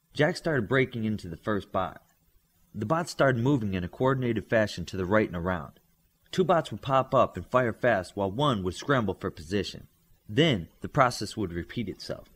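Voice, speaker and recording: Low-pitched man narrating a story, animated. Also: breathy edge. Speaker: audiobook narrator.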